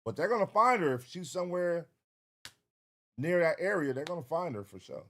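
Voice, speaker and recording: A man's voice: a little high, a little fast, a little hiss or hum. An American man hosting a reaction show, giving casual, profane commentary in a blunt, slangy way. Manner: blunt, slangy, animated quoting of imagined dialogue. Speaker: reaction video host.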